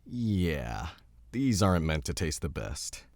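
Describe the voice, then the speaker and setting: A man playing a gruff, bossy, battle-scarred survivalist in an audio drama, blunt, teasing and swearing. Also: rumbling low, sing-song, takes it slowly, a little mellow, no room sound, exaggerated voice. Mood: bossy, confident.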